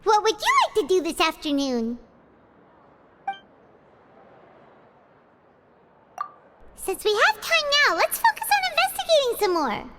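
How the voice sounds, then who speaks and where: Very high, squeaky excitable little fairy girl. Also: a little fast, loud music behind the voice. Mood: curious. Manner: whiny, exclaiming, speaks of herself in third person. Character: tiny excitable floating fairy-like girl companion, always hungry. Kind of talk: anime-style video game voice acting.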